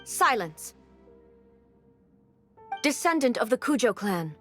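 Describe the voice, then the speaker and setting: A woman's voice: on the high side, very animated, slow pace, fairly bright tone, faint music behind, heavily acted. An actress playing a stern, regal female shogun in an anime video game, speaking in a formal, imperious, terse manner. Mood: stern, commanding.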